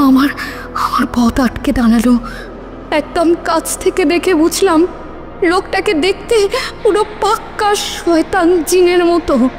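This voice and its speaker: A woman's voice: high voice, a little mellow, close to an everyday voice. A woman playing a frightened wife who narrates her own ghostly encounter in a theatrical, suspenseful horror cartoon style. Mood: shaken dread. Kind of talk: dramatic first-person horror narration. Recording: long reverb, music behind.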